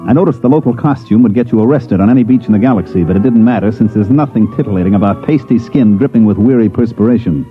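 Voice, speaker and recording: A man's voice: on the low side, fast, hardly any pauses, natural voice. An actor playing a wry, weary government investigator who narrates his case in hard-boiled 1950s radio drama style. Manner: wry, dry wisecracking. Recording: muffled old radio recording, music behind.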